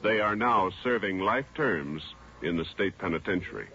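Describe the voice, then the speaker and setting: A man reading formal 1940s radio narration as an announcer, measured, official and solemn. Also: deep voice, lively intonation, over loud music. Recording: dull vintage radio recording.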